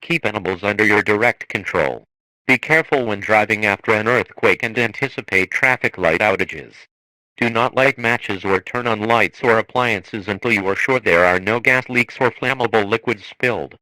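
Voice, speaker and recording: A man reading a scripted emergency broadcast in a formal, measured manner. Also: lowish voice, bright, forceful tone, dry recording, low-quality recording. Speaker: emergency alert announcer.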